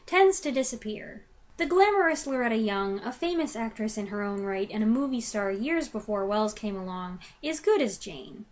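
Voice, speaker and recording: A woman giving a conversational review, opinionated and given to wry asides. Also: a little high.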